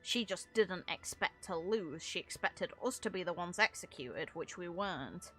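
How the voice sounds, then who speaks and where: Girl chatting about game lore, kinda lower voice, crisp and bright, rambly and analytical. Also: expressive, moderate pace, faint music behind. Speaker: content creator commentating on a game. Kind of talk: casual lore commentary.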